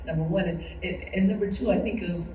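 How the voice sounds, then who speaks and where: A mellow-voiced interviewer guides a group discussion, thinking aloud and repeating words along the way. The voice is picked up from a distance in an echoey room with faint noise. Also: medium pitch, fairly even intonation, fast talker. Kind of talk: conversational oral history interview. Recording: roomy echo, distant mic, faint noise.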